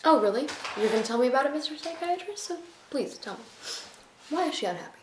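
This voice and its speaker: An actress playing an earnest, stubborn believer in the supernatural, talking in an insistent, rambling way in a naturalistic drama. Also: female voice, high voice, animated, fast. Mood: self-reproachful. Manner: insistent, rambling, self-deprecating. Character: earnest, stubborn, chatty woman who believes in ghosts. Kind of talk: naturalistic film drama acting. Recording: echoey room, distant mic, faint noise.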